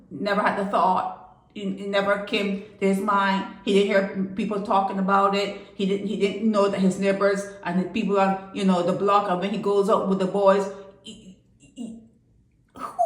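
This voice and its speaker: A woman giving impassioned solo commentary, firing indignant rhetorical questions that leap up sharply in pitch. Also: roomy sound, low-quality recording.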